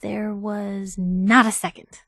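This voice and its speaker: A woman's voice: medium pitch, level tone, takes it slowly, fairly bright tone, dry recording, studio quality. A woman playing a wry, inquisitive young reporter companion in a video game, with an American accent and a chatty, sarcastic way of talking. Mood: wry, teasing. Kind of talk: video game companion dialogue, conversational asides.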